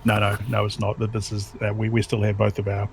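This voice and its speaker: A man's voice: lowish voice, monotone, fast, creaky, slight background noise. A man in casual chat, rambling with lots of fillers and restarts. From United States. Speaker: livestream guest.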